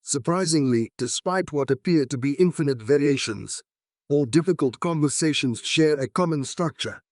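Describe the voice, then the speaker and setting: A man's voice: fairly high pitch, normal speed, a little mellow, no room sound, clean studio sound. A man reading scripted passages aloud as exam practice.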